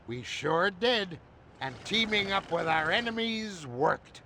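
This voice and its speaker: Doddery old superhero geezer, high creaky voice, slow and hammy, cartoon game. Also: male voice, animated, bright, forceful tone, a little hiss or hum, exaggerated voice. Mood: befuddled, genial. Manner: pompous heroic declaiming, befuddled. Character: doddering old male superhero, earnest, hammy, easily confused. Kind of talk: cartoon video game acting.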